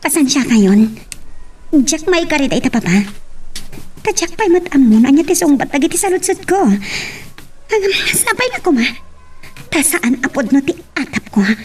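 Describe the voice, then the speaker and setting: A woman's voice: high voice, expressive, moderate pace, pauses often, a little mellow, soft background music. A woman with an Ilocano accent playing a suspicious young wife in an audio drama, questioning insistently. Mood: upset. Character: suspicious young wife, demanding the truth from her husband. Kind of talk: amateur Ilocano radio-style romance drama acting. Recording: distant from the microphone.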